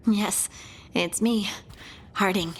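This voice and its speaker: A woman's voice: mid-range voice, steady tone, slow pace, a little hiss or hum, lightly acted. A woman playing an earnest, resolute dwarf scout in a video game, with a cautious, reassuring manner.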